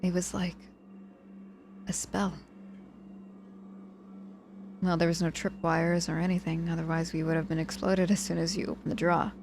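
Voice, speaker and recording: A woman playing a cocky but softening injured witch mentor in an ASMR audio roleplay, dryly teasing, apologetic and protective with hesitant pauses. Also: lowish voice, level tone, vocal fry, noisy background. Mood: worried, protective.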